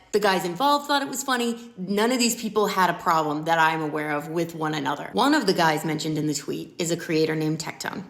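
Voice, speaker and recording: Low-voiced woman, blunt casual commentary. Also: echoey room. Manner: blunt, sweary, wry. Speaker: content creator recapping online drama. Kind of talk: casual commentary to camera, story recap.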